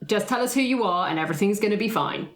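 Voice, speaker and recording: A woman's voice: medium pitch, lively intonation, fast. A woman playing a tabletop roleplaying game, improvising and chatting casually as a player. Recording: roomy echo, faint background noise.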